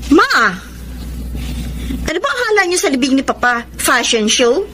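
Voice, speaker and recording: An actress with a Filipino accent playing an earnest young student daughter, pleading and scolding in a Tagalog radio drama. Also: female voice, high voice, sing-song, moderate pace, a bit bright, a little hiss or hum. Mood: exasperated. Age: young adult.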